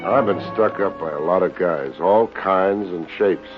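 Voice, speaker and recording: An actor playing a wisecracking, unflappable private investigator in an American radio drama, dry and sardonic, with an American accent. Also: male voice, sits low, measured pace. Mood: wry narration. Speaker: lead actor narrating and playing a detective. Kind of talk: 1950s American radio detective acting. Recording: dull old radio recording with music.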